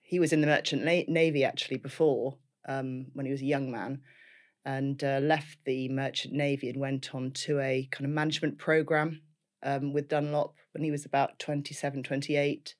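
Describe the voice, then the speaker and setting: A woman's voice: extremely low pitch, little pitch movement, normal speed. A woman in her fifties with a Liverpool accent, a guest telling her life story in a casual, chatty interview, laughing often and using many fillers. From Liverpool, England.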